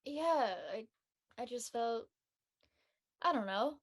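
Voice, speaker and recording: A young actress playing a homesick, worn-down college student in a drama, hesitant and soft-hearted. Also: female voice, on the high side, lively intonation, slow pace. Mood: vulnerable. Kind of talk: acted dialogue in an audio-led play.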